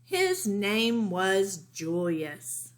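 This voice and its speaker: A woman's voice: mid-range voice, very animated, drawn-out words. A woman voicing a bossy, jealous little girl mouse in a children's storybook, with an emphatic, theatrical delivery. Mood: scornful, declaring. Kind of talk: read-aloud children's storybook.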